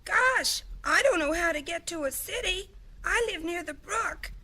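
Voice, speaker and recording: Woman voicing a very high-pitched, woeful little duckling. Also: animated, measured pace, bright, forceful tone, exaggerated voice. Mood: plaintive. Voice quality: quacking, childlike. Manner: plaintive, woeful. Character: sad young male ugly duckling, lonely and anxious. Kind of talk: children's comedy cartoon acting.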